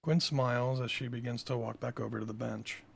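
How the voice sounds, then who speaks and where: A man with a gravelly, husky voice reading stage directions as scripted narration at a table read. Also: mid-range voice, fairly even intonation, brisk.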